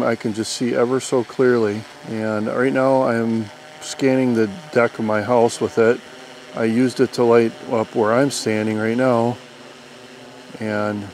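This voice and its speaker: A man's voice: mid-range voice, darkish tone, low-quality recording. A man in his fifties with an American accent gives a plainspoken, explanatory demonstration and review in a conversational style. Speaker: product reviewer and drone pilot. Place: outdoors on an open lawn. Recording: outdoor recording with background noise.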